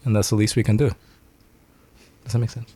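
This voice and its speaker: A man's voice: lowish voice, flat delivery, a little fast, dark tone, husky, no echo. A Sri Lankan-born man in a band, chatting casually on a radio show, rambling, swearing and laughing as he talks. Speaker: band member guest. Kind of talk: casual radio interview chat.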